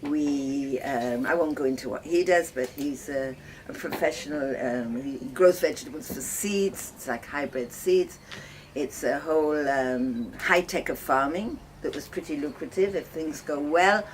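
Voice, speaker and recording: An older woman from Wales telling her life story casually, reminiscing in a halting, conversational way. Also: low voice. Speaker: host of guest lodging, farmer's wife. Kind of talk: casual personal storytelling to guests. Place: informal talk to visitors, filmed by a guest. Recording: noisy ambient home video.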